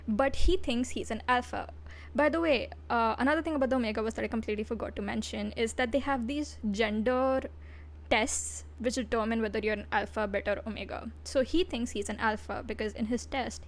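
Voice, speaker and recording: A woman VTuber giving a casual livestream review, blunt and profane, breaking into giggly asides. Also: on the high side.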